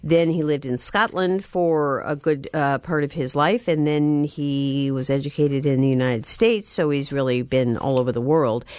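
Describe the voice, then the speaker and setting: Low-voiced woman radio host. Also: normal speed, few pauses, a little mellow, no echo. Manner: friendly, laughs easily, rambling questions. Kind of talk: public radio interview hosting.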